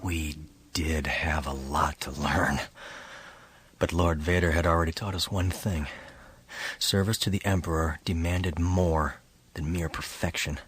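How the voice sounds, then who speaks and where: A man's voice: very deep voice, fairly even intonation, measured pace, a bit bright, husky, heavily acted. A man playing a grim elite guard turned outlaw, terse, stern and guarded, in an audio drama. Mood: solemn. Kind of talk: audio drama acting and narration.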